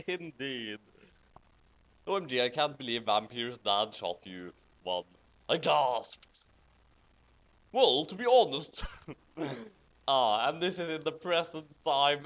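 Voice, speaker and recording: Man, dramatic reader, slow pace, very animated intonation, hammy and amused. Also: medium pitch, poor audio. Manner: theatrical, hammy emphasis; giggly, breaking into laughter. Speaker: host reading bad fan fiction aloud. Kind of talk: dramatic reading with amused commentary.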